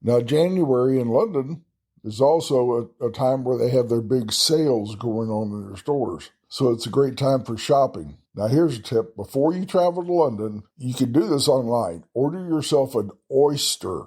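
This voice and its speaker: A man with a Texan drawl talks through travel tips in a loose, folksy way, tossing in chatty asides at his own expense. His voice is dark and mellow, with a husky grain to it. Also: mid-range voice, expressive, normal speed. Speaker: travel podcast host. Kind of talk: casual solo travel talk.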